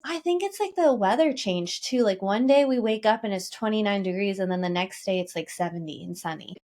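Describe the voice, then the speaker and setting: A woman's voice: medium pitch, brisk. A young woman with a mid-range voice chats casually as a podcast co-host. She rambles easily, leaning on fillers and small laughs. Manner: relaxed, rambling, filler-heavy. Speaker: podcast co-host, marketing officer. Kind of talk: casual chat.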